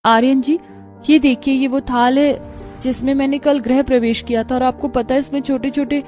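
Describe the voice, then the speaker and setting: A woman's voice: high-pitched, steady tone, normal speed, darkish tone, soft background music, voice pushed up, close to an everyday voice. A woman voicing an innocent, bewildered young bride in a story drama, plaintive and full of exclamations and questions. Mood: distressed.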